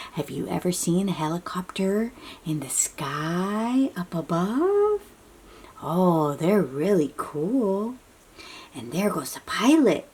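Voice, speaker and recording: A woman reading a picture book aloud to young children in an animated, breathy, questioning way, drawing out her words. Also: lowish voice. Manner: animated, drawn-out, questioning delivery aimed at little children. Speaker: children's read-aloud host.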